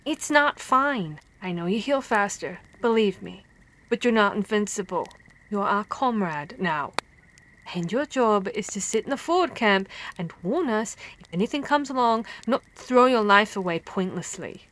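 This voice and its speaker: A woman playing a female vampire hunter who scolds and cares for her comrade, in an expressive, stammering ASMR roleplay. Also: mid-range voice, normal speed, lightly acted. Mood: scolding, worried.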